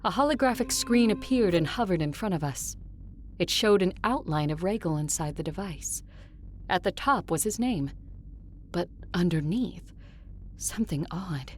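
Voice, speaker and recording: A woman's voice: lowish voice, lively intonation, moderate pace, close to an everyday voice. A woman playing an adult female conqueror who narrates her quest in a first-person audio drama. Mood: measured narration. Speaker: narrator and lead character.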